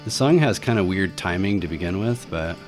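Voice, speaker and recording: Man, low pitch, casual screen walkthrough, tech hobby host, informal, rambling, thinking aloud. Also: animated, brisk, soft background music. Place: indoor voice-over for a screen recording.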